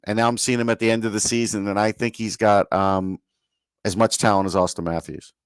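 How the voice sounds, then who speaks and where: A man's voice: on the low side, level tone, brisk, clear, resonant tone, no room sound. A man co-hosting a sports podcast, giving casual, opinionated commentary in a plain-spoken way.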